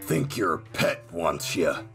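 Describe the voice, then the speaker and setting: Man voicing a gruff sleepy male demon, gravelly, slow drawl. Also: low-pitched, big pitch swings, a bit bright, faint music behind, exaggerated voice. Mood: grumpy. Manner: gruff, grumbling.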